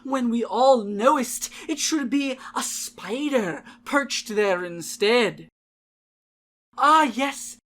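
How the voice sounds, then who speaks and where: A woman's voice: sits high, fairly bright tone, voice pushed up, heavily acted. A woman performing a merry, cautioning jester herald in a theatrical, mock-Shakespearean dramatic reading. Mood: grand, merry. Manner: grandiose, drawn-out, declamatory delivery.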